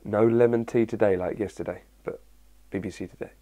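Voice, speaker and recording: A young man with a husky early-morning voice, chatting casually to his viewers, answering their questions and rambling with lots of fillers. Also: on the low side, fairly even intonation, fairly quick, mellow. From England. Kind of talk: casual chat to camera.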